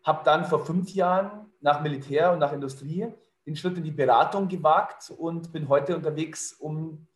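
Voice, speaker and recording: A man's voice: fairly high pitch, normal speed, few pauses, echoey room. A middle-aged man, a leadership consultant, talking fluently and in a businesslike way with some fillers. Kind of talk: conversational interview answer.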